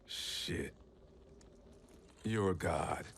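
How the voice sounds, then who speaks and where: An actor with an American accent playing an embittered soldier and family man in a video game, blunt, gruff and colloquial. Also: very deep voice, extremely slow, a little hiss or hum, full character voice. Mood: bitter, pained. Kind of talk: cinematic video game voice acting.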